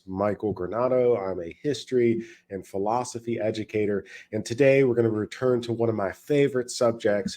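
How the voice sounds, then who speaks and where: A man's voice: lowish voice, darkish tone. A man, a history and philosophy educator, giving commentary on a video clip while getting over a cold, sounding a little nasal. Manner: measured, explanatory, repeats phrases for emphasis.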